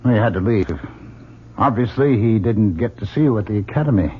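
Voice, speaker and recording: A man's voice: lowish voice, fairly quick, close to an everyday voice. An actor playing a dry, skeptical middle-aged father in an old-time radio drama, wry and probing. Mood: curious. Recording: dull, lightly noisy old radio recording.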